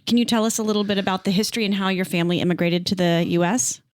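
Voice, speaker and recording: A woman's voice: medium pitch, steady tone, rapid-fire, dry recording, studio quality. A woman hosting a casual podcast interview, chatting in an effusive, affirming way. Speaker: podcast host.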